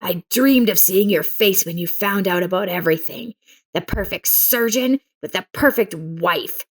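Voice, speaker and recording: A sneering male rival in a betrayal story, read by a woman narrator. The delivery is quiet and hissed, mocking and full of gloating menace. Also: fairly low pitch, moderate pace, natural voice. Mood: gloating, menacing. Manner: hissing, mocking. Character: sneering male villain, gloating lover with bat.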